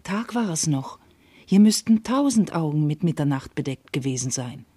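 An actress playing a loyal, sensible confidante in a classical German verse radio play, measured, deferential and reassuring. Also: female voice, low voice, lively intonation, warm tone, husky, dry recording. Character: loyal female confidante soothing her princess, sensible, reassuring.

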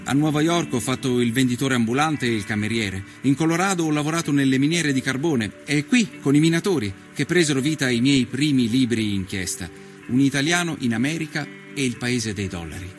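A man performing an earnest nineteenth-century Italian journalist who recounts his travels in a measured, solemn, literary radio monologue. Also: mid-range voice, faint music behind, lightly acted. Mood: reflective. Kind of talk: scripted dramatic narration, radio storytelling.

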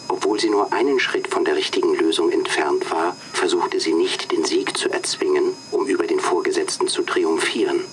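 Very deep, formal interviewing computer. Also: male voice, fairly bright tone, slight background noise. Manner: formal, clipped, impersonal, matter-of-fact. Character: cool, formal job-interview computer program. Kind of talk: radio drama acting.

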